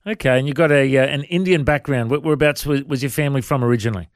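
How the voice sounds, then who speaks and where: Male interview host, Australian accent, medium pitch, dry close studio sound. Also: racing, no room sound. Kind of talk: interview chat.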